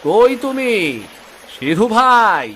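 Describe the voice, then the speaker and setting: A man's voice: very high-pitched, sing-song, drawn-out words, sharp tone, exaggerated voice. A man voicing a caring, loyal village friend in a cartoon story, with a familiar way of talking. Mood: searching, worried. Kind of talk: Bengali cartoon story acting.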